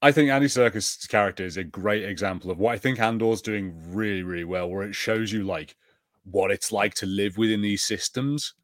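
A man's voice: on the low side, a little fast, dry recording, clean studio sound. A man co-hosting a podcast, chatting casually and enthusiastically in a review. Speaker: podcast co-host.

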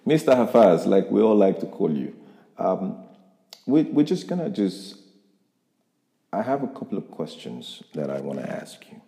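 Male podcast interviewer, deep and reflective, recorded in a reverberant room. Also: very animated, moderate pace, vocal fry, long pauses, dark tone. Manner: reflective, motivational, with frequent restarts. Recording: echoey room with long reverb.

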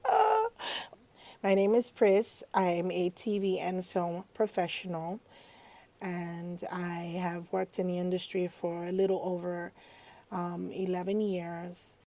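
A woman's voice: on the low side, mellow. Woman in a chatty podcast banter. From Nigeria. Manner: chatty, playful, quick to laugh. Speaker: podcast co-host. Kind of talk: casual podcast chat.

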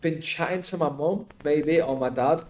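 A man's voice: a little high, normal speed, darkish tone. A man, talking casually on a podcast in a rambling, emphatic way, often checking in with the listener. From Iraq until age eight, then UK. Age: 20s. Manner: rambling, emphatic explaining with frequent "like" and "right?". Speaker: podcast guest.

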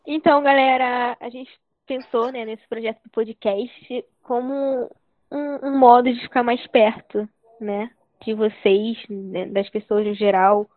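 A nineteen-year-old woman chatting casually on a podcast in a relaxed way, with many filler tags. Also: fairly high pitch, unhurried, no echo. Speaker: youth group member, co-host. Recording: phone-like dry line.

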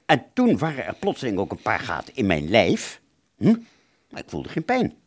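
A man's voice: on the low side, wildly swooping pitch, normal speed, no echo. A man playing a rambling, agitated wartime fighter pilot who relives battle in a stammering, dramatic radio play monologue. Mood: tense, fading.

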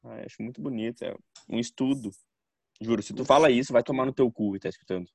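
A man's voice: medium pitch, fast, creaky, background noise. A man co-hosting a casual podcast among friends, blunt and given to ranting and swearing. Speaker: podcast co-host.

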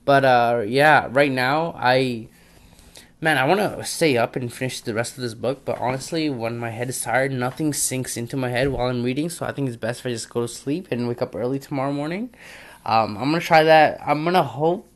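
A man's voice: medium pitch, fairly quick. A young man talking through his plans in a casual audio diary, rambling and thinking aloud. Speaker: podcaster.

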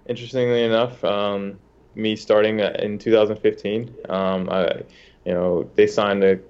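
A man's voice: lowish voice, level tone, measured pace, darkish tone, clear, resonant tone, dull audio. A young man from the United States, a soccer goalkeeper, telling his story in a casual interview with many fillers and restarts.

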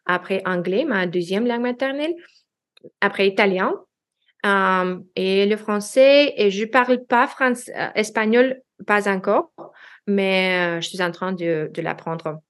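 A woman's voice: medium pitch, measured pace. A woman in her thirties, a native Russian speaker with a Russian accent, talking as a guest in a casual interview, hesitant and full of fillers and restarts. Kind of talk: casual interview chat in French.

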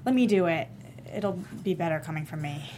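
An actress playing a warm, frank young woman in a naturalistic comedy table read. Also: on the low side, animated, fairly quick, lightly acted. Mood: reassuring. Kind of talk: naturalistic comedy script reading. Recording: faint background noise.

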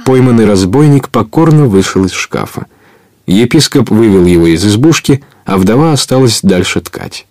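Low-pitched male storyteller. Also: animated, normal speed, darkish tone, no echo. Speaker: narrator. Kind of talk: scripted storybook narration for radio play.

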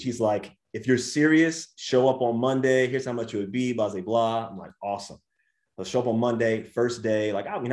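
A man in his twenties with an American accent, a vegan coach telling his story as an interview guest in a lively, slangy way. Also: mid-range voice, reverberant. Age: 20s. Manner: animated storyteller, slangy, says dude and bro. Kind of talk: casual podcast interview.

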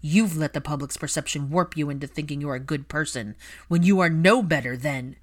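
Resentful highborn young man, performed by a female narrator, lowish register, forceful, biting and deliberate, for dramatic story reading. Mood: accusing. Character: bitter adult aristocratic son, cold, angry, resentful.

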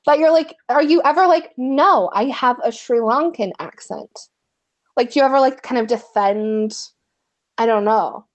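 A woman's voice: on the high side, normal speed. A woman co-hosting a podcast, asking questions casually with many fillers and thinking aloud. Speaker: podcast co-host.

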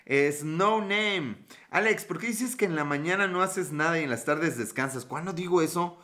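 A man's voice: a little high, moderate pace, sharp tone. A man in his thirties with a Mexican accent, chatting casually and joking with viewers as a podcast host. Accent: Mexican Spanish. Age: 30s. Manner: joking, profane, playful. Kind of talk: casual live-stream chat reading comments.

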